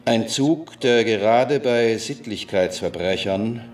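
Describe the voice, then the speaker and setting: A man's voice: fairly low pitch, takes it slowly, darkish tone. A man reading out a written report in a formal, detached manner. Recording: slightly distant, faint music behind.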